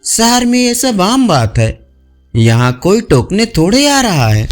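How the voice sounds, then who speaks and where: A man's voice: high-pitched, big pitch swings, slow pace, soft background music, exaggerated voice. A man voicing a bold, breezy young woman urging and reassuring her friend in an audio story.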